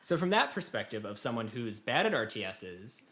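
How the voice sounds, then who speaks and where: A man with a middle-pitched voice talks as a podcast co-host about games. He is casual and rambling, quick to run himself down, and swears in passing. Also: sing-song, pushed and bright.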